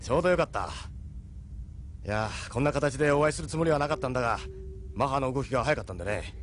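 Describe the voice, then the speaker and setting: An actor playing a brisk, gruff, unflappable ship captain in a Japanese sci-fi audio drama. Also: male voice, medium pitch, slight background noise, close to an everyday voice. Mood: respectful. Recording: music behind the voice.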